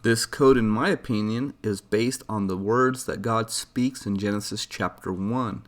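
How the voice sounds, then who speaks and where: A man's voice: on the low side, measured pace. A man giving scripted explanatory narration in an earnest, didactic manner. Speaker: video narrator.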